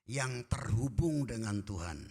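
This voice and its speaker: A man preaching a sermon as pastor, folksy and joking with the congregation, swinging from quiet asides to loud shouted emphasis. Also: mid-range voice, expressive, slow, slightly rough. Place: church.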